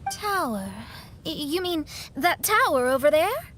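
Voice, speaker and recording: Game astrologist, young woman: haughty, emphatic, high and expressive, given to theatrics. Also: a little slow, slight background noise. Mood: composed, inquisitive. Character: proud, dramatic young female astrologist. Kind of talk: anime-style video game acting.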